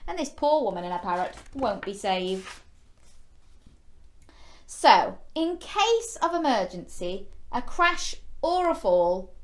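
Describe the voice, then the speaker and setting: A woman's voice: medium pitch, big pitch swings, takes it slowly, noisy background. A woman hosting a children's story time, reading a picture book expressively with exclamations and playful questions to young listeners. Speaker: children's storyteller and show host.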